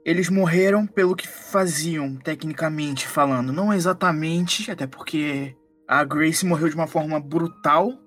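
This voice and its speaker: A man as a higher-voiced skeptical Brazilian detective. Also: normal speed, a bit bright, faint music behind. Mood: reasoning. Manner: casual, reasoning. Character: skeptical, analytical male psychologist detective in horror roleplay.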